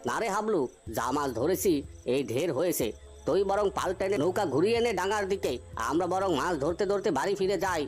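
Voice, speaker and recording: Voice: medium pitch, animated, fairly quick, soft background music, lightly acted. A performer playing an easygoing, chatty old village fisherman in a cartoon story, reassuring and a little bossy. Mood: reassuring, hurrying.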